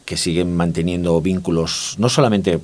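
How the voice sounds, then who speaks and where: A man's voice: low voice, steady tone, moderate pace, darkish tone. A man from Barcelona with a Spanish accent from Spain, explaining his views reflectively as an interview guest and often checking for agreement. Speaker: interview guest, consumer market expert. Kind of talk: conversational interview, explanatory talk.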